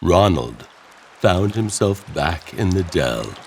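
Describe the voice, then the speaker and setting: A man narrating a scripted horror story theatrically, pausing between short phrases. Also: low-pitched, animated, slight background noise. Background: loud music.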